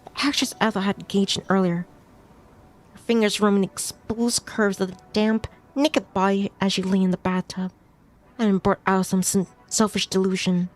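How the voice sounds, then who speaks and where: A woman's voice: mid-range voice, fairly even intonation, moderate pace. A woman streamer reading a visual novel aloud as story narration, now and then stumbling over a word and rereading it. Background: music behind the voice.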